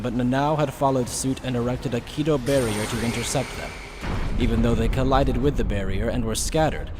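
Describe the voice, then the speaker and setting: A man's voice: mid-range voice, noisy background. A man reading dramatic scripted story narration.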